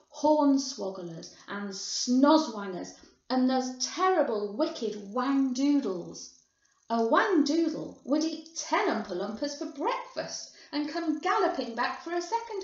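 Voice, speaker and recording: A woman voicing an eccentric, boastful male candy factory owner in a storybook, theatrical and full of emphatic exclamations. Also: fairly high pitch. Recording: muffled, boxy echoing room.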